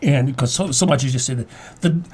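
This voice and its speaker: An older man with an average-pitched voice talks through his ideas as an interview guest. He starts and restarts phrases, doubling words as he explains, in an unscripted, teacherly conversational way. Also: a little mellow, breathy edge. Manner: halting, repeats words, explanatory. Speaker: guest playwright and acting teacher.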